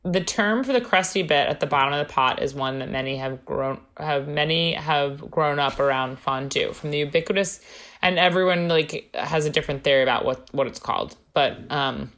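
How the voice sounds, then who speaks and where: A woman's voice: deep voice, normal speed, a bit bright. A woman co-hosting a casual podcast, reading from her notes with wry, jokey asides. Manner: wry, jokey, playfully conspiratorial. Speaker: podcast co-host.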